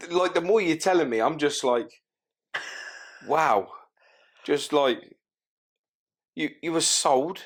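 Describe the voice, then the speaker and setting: Man, podcast host, slow, sympathetic, short reactions. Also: fairly high pitch, lively intonation, breathy edge. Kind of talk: interview listening.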